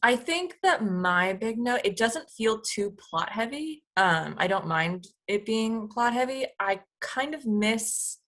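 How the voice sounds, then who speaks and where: A woman's voice: medium pitch, a bit bright. A woman cast member giving casual feedback in a group discussion.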